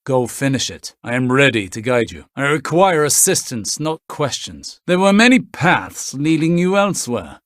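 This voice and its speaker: A scholarly, authoritative mentor from a video game. He speaks in short, measured, formal lines at a middle pitch, with a very dry studio sound. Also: sing-song, fairly bright tone, no echo. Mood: stern, preoccupied. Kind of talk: scripted game character barks.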